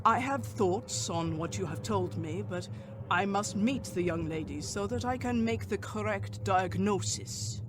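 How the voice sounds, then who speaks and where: Man acting a high-pitched, grave old professor. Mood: grave, urgent. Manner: formal, deliberate, solemn. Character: grave, commanding older male professor and doctor. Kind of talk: gothic radio drama acting. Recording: clearly noisy background.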